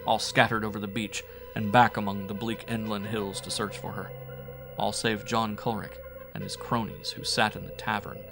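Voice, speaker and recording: A man's voice: fairly low pitch, level tone, moderate pace, creaky, low-quality recording. A man narrating a horror audiobook in a theatrical, brooding, old-fashioned storytelling manner. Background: music.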